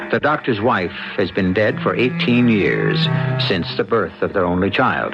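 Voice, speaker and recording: An American man narrates a radio drama as its host. His voice is fairly low and expressive, on a muffled vintage recording. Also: creaky. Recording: dull vintage radio recording, music behind.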